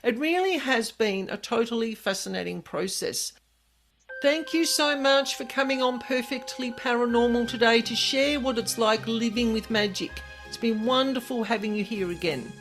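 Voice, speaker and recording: A woman hosting a podcast in casual chat, her voice croaky and hoarse from a virus, explaining things at length in an earnest way. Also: a little high, moderate pace, a bit bright, faint music behind. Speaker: podcast host.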